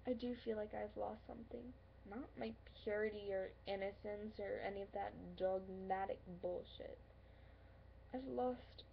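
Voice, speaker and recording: Actress as insecure, wounded young woman in love, stage monologue; high creaky voice, earnest, halting. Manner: earnest, pleading, halting with pauses. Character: hurt, insecure, lovelorn young woman confronting her friend. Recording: dull lo-fi, faint background noise.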